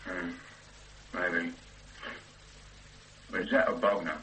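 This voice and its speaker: An actor playing an easygoing police constable on a stakeout, chatty and dry in a radio drama. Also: male voice, low-pitched, fairly even intonation, takes it slowly, pushed and bright, a little hiss or hum, full character voice, poor audio. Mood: casual. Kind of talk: 1970s radio drama acting.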